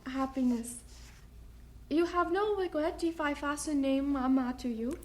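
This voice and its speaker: A woman playing a cheerful young Lisu village girl who speaks halting, broken English in an old radio drama. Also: sits high. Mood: happy, shy.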